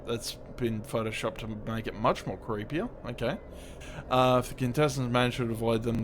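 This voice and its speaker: A man's voice: medium pitch, background noise. A man doing casual, rambling game commentary in an understated, offhand way, sometimes reading text aloud as he plays. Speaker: livestreamer playing a game. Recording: creepy ambient music behind. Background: creepy ambient game music.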